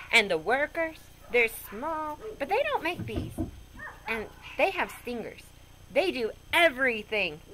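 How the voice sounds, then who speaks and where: A woman reads a picture book aloud for children. Her voice is bright and fairly high, swinging widely as she goes, and she is expressive and goofy, slipping in chatty asides. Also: a little hiss or hum, poor audio. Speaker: storybook reader.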